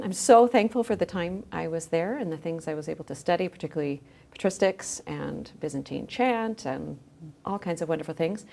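A middle-aged woman with a somewhat low-pitched voice talks as a guest in an interview. She speaks conversationally, explaining things in an informal, narrative way. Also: a little mellow. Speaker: podcast guest, journal managing editor. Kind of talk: conversational interview, recounting own background.

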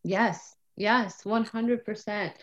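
A woman co-hosting a casual podcast, lively and animated, who acts out her thinking with quoted asides and lots of "like" and "okay". Her voice is at a middling pitch, and the recording sounds slightly dull and muffled. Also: slow. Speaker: podcast co-host. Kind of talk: casual conversational podcast chat.

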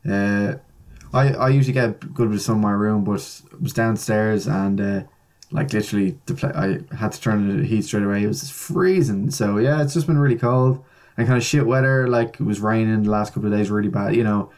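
A man's voice: fairly low pitch, fairly even intonation, brisk, darkish tone. Laid-back Irish man co-hosting. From Ireland. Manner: laid-back, laughs often, lots of fillers. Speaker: podcast co-host. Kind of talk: casual podcast chat.

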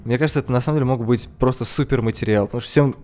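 A man's voice: medium pitch, very fast, mellow. A man co-hosting a podcast, musing aloud in casual chat. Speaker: podcast co-host.

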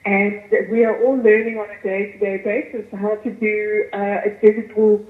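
A woman with a South African accent giving articulate, measured answers as a guest in a radio interview. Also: mid-range voice, flat delivery, reverberant, phone-quality sound. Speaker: arts festival chief executive, interview guest.

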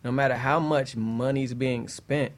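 A man's voice: medium pitch, fairly even intonation, measured pace. A man in a casual panel discussion, speaking emphatically and conversationally and often checking that listeners follow.

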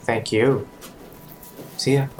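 A man playing an easygoing, reassuring colleague in an audio drama, with a casual, understated, gently playful way of talking. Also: medium pitch, fairly even intonation, slow pace, slight background noise, natural voice. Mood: encouraging. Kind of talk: audio drama acting, naturalistic dialogue.

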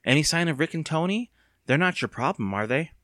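A teasing, practical young girl from an audiobook, played by a man. She sounds skeptical and matter-of-fact, with very lively intonation and a dry, close sound. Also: fairly high pitch, brisk, no room sound, voice pushed up. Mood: curious. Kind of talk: audiobook character voice.

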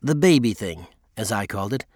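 A man's voice: lowish voice, animated, brisk, a little mellow, dry recording, clean studio sound. A man narrating a memoir audiobook in a scripted first-person storytelling style.

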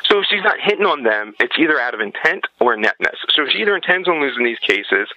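A man's voice: mid-range voice, very animated, quick pace, sharp tone, dry recording, dull audio. A man giving blunt, emphatic opinions as a guest in a podcast interview.

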